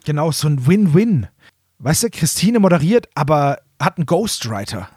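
A man's voice: a little high, big pitch swings, moderate pace, darkish tone, husky, no room sound, studio quality. A man co-hosting a casual comedy podcast, emphatic and mock-indignant, repeating himself for effect. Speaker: podcast co-host.

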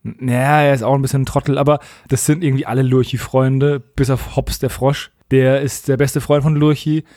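A man's voice: medium pitch, flat delivery, fairly quick, dark tone, dry recording, clean studio sound. A man co-hosting a podcast in casual, colloquial talk, giving frank opinions. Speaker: podcast co-host.

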